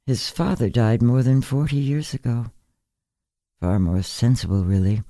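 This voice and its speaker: A man voicing a very old, bedridden woman in a literary story, frank and musing, with gentle wryness. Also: medium pitch, animated, measured pace, dry recording, clean studio sound. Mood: wry, reflective. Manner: musing, candid, gently superior. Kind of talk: literary story character reading.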